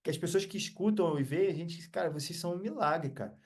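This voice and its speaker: A man's voice: fairly high pitch, fast, darkish tone. A man with a Brazilian accent talking casually as a podcast guest, reflective, earnest and motivational in manner.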